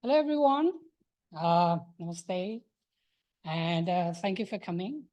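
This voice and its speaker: A man in his thirties from Nepal, giving a hesitant, soft-spoken talk about his thesis book to an audience. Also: high voice, animated, unhurried. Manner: soft-spoken, hesitant with many filler sounds. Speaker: thesis presenter.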